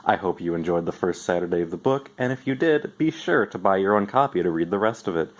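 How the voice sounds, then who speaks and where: A man with a fairly low voice giving an expressive amateur storybook reading in the first person. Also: fast. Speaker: amateur reader.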